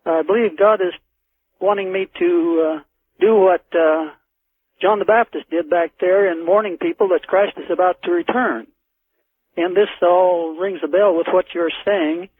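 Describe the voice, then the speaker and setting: High-pitched man calling on a phone line. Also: fairly even intonation, moderate pace, no echo. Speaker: radio phone-in caller. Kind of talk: earnest call-in talk. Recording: thin telephone line on radio.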